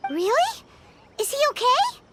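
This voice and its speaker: A woman voicing a tiny, childlike fairy companion in a video game, squeaky, chirpy and exclamatory. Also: huge pitch swings, unhurried, loud music behind the voice, heavily acted. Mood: bright, eager. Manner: refers to herself in third person, exclamatory.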